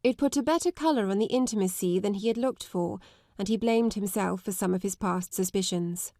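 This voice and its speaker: A woman giving a measured, articulate narration of a literary audiobook. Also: mid-range voice, mellow, no room sound, studio quality.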